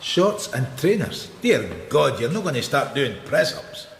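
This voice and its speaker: An actor playing a wry, world-weary middle-aged Scottish police detective in a radio drama, with a dry, sardonic manner. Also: male voice, medium pitch, sing-song, measured pace. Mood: sardonic, probing. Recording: noticeable background noise.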